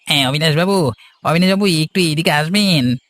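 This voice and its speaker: A man's voice: fairly high pitch, moderate pace, fairly bright tone, a little hiss or hum, lightly acted. A man playing a scheming, outwardly obsequious servant of a village master in a cartoon. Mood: servile, alarmed. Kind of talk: cartoon voice acting.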